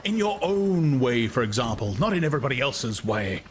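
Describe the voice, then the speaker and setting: Man voicing a brooding young man, hesitant, earnest, lively pitch. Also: moderate pace, a little hiss or hum, lightly acted. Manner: hesitant, searching, derisive at times.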